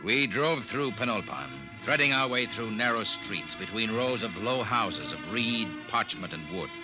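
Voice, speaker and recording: An actor plays a young frontier officer in vintage radio drama. His pitch moves freely as he narrates and chats. Music runs under him on the old recording. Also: lively intonation, normal speed, close to an everyday voice, poor audio. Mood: earnest. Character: young eager frontier-service officer, recalling his past posting. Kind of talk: 1950s radio drama acting, narration and dialogue.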